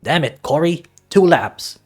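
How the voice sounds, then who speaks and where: A man acts out a blustery male soccer coach from a visual novel, small in stature and big in heart. He is gruff and emphatic, barking his words loudly, lifted above an everyday talking pitch. Faint music runs underneath. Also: lively intonation, unhurried. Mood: exasperated, barking. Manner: loud, emphatic, barking pep talk.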